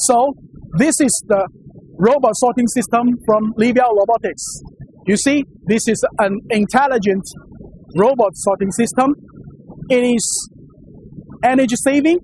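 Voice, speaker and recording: A man in his thirties speaks accented English, Chinese being his first language, in a fairly high voice as he walks a visitor through a warehouse. He explains steadily but keeps repeating phrases and restarting mid-sentence, filling the gaps with "uh". A lapel mic catches him closely while a faint hum of machinery carries through the large indoor space. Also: unhurried, long pauses, a little mellow, rough recording. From China. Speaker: company presenter giving a warehouse tour. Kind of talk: guided facility tour explanation.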